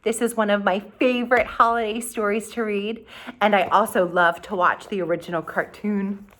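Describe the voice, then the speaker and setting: A woman reading a children's story aloud, theatrical and expressive, with deliberate emphasis on key words. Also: mid-range voice. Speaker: storybook reader.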